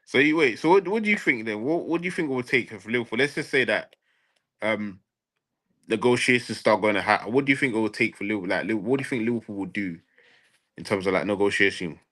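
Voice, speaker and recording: A man's voice: lowish voice, fast, bright, forceful tone. A man in a casual football transfer debate, speaking emphatically and repeating phrases for stress. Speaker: football fan show panelist.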